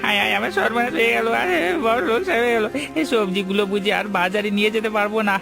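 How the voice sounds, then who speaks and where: A man's voice: sits high, fast, vocal fry, over music. A man voicing an anxious, struggling village vegetable seller in a cartoon, with a fretful, beseeching manner. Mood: distressed. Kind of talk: cartoon voice acting.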